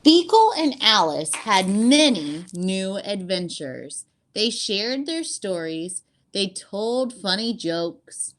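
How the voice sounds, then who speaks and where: A woman reading a storybook aloud to children as a teacher, with an expressive, deliberate storytelling delivery. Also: mid-range voice.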